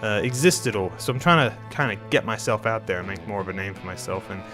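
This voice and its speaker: A man's voice: on the low side, fast talker, pushed and bright, soft background music. A man hosting his art channel in casual talk over a speed drawing, a bit nasal and under the weather, rambling with many restarts. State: slightly sick.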